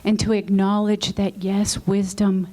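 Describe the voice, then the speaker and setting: A woman in the audience asking the panel a heartfelt question, apologetic and loud-voiced. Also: medium pitch, level tone, unhurried. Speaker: audience member. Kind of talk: question from the floor. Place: conference session room.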